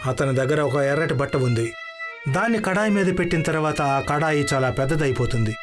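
Casting: grasping storybook village chief, a man acting, agreeable schemer, highish male voice, music bed. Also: animated, fairly quick, lightly acted. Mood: conspiratorial. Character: greedy village headman, scheming accomplice.

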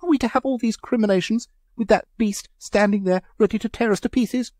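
A man's voice: high voice, a little fast, darkish tone, dry recording, clean studio sound, voice pushed up, lightly acted. A man reading a story aloud, voicing an indignant lady who wails in fright. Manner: wailing, indignant. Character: indignant, wailing society lady.

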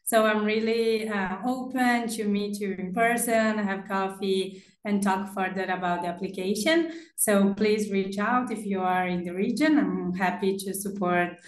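A woman's voice: medium pitch, steady tone, unhurried. Young woman from argentina raised in brazil, encouraging webinar talk, roomy echo, laptop call. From Argentina, grew up in Brazil. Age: young adult. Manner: warm, encouraging, with fillers. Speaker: recent alumna speaker. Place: small office indoors. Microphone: laptop on video call. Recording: echoey room on a video call.

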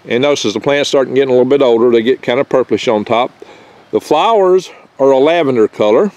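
An older man talks casually outside in a garden among pine trees, holding the camera close as he speaks. His delivery is folksy and meandering, with little jokes and occasional stumbles over his words. His pitch sits in an ordinary middle range for a man. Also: fast talker, a little mellow. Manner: rambling, folksy, chatty with small jokes and stumbles. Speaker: foraging channel host. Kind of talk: casual outdoor foraging vlog. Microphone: handheld camera mic held close.

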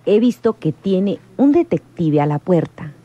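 An actress in a radio drama playing a prying head nurse who is polite, formal and probing. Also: female voice, fairly low pitch, very animated, a little slow, lo-fi sound. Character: smooth-talking, prying female head nurse. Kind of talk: radionovela acting.

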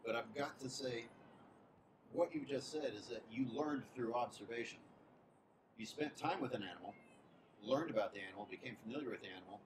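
Male host, medium pitch, joking casual tone, recorded with clear room noise behind him. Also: brisk. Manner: teasing, joking. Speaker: host of the chat. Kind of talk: casual teasing chat. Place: living room.

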